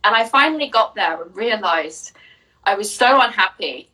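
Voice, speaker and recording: A woman's voice: medium pitch, expressive, normal speed, pushed and bright, recorded from a distance, rough recording. A young woman from Jersey, a business coach, chatting openly in an interview and telling her story with plenty of fillers. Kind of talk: casual interview answers.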